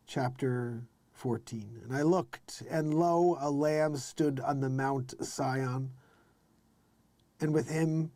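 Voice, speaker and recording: A man's voice: medium pitch, dark tone, dry recording. A man hosting a live read-along, reading scripture aloud in an emphatic, declamatory way and adding casual informal commentary. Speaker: live show host.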